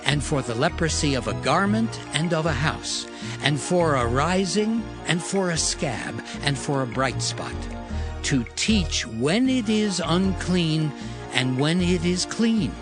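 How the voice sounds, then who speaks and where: A man narrating scripture in a measured, steady cadence. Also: fairly high pitch. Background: music.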